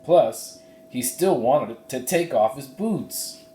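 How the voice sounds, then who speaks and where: A man's voice: medium pitch, expressive, slow, dark tone. A man, a teacher, reading a children's book aloud expressively with casual asides. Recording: roomy, echoey sound.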